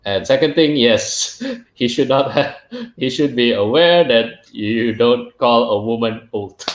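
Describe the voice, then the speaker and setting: A man's voice: medium pitch, unhurried. A man who grew up in Singapore, hosting a casual interview, talking in a thoughtful way and getting emphatic when he jokes. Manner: thoughtful, reflective, grows emphatic when joking. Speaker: podcast host. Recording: echoey, dull lo-fi room sound.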